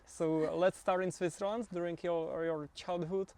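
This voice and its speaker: A man interviews a guest in a gravelly, creaky voice. He feels his way into each question with restarts and pauses. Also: high-pitched, fairly even intonation, a little mellow, no echo. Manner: hesitant, many fillers and restarts. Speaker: podcast host.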